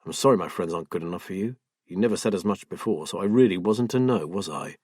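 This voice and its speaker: A man's voice: animated, moderate pace, slightly rough, dry recording, clean studio sound. A man voicing a patient husband, hurt but firm and coaxing toward his wife. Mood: hurt, soothing. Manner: firm, coaxing, low-key.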